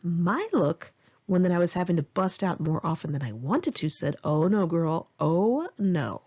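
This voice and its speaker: A woman's voice: lowish voice, sing-song, a little slow, dark tone, slightly rough, lo-fi sound. Wry woman reading her own memoir. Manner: candid, swearing, wry storytelling. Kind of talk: one-take memoir audiobook reading. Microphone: home microphone.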